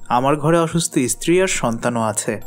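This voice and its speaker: A man's voice: mid-range voice, animated, normal speed, faint music behind, lightly acted. A man voicing a mild, hardworking village husband and father in a fairy-tale cartoon, good-natured and familiar. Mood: weary, modest.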